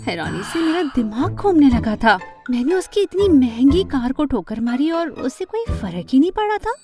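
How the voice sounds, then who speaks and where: A woman playing an ambitious, fashion-loving teenage girl who narrates her own animated story, dramatic and expressive, with English words mixed in. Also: high voice, normal speed, faint music behind. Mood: storytelling.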